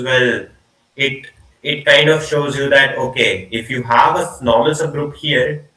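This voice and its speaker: A man's voice: mid-range voice, pushed and bright, recorded from a distance. A young man giving an informal online maths lecture from a small room, repeating words and trailing off as he explains. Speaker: student lecturer. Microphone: laptop mic on video call. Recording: echoey video call audio.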